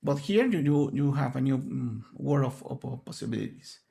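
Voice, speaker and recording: Spanish-speaking man with a gravelly voice. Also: mid-range voice, lively intonation, normal speed, mellow. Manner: earnest, explanatory, searching for words. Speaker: conference speaker. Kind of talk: online conference presentation.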